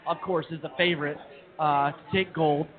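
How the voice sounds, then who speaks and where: A man's voice: fairly high pitch, fairly even intonation, moderate pace. A man co-hosting a casual sports talk show, talking with eager fan enthusiasm. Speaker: co-host. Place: brewery, live show. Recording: tinny phone-like sound with crowd behind.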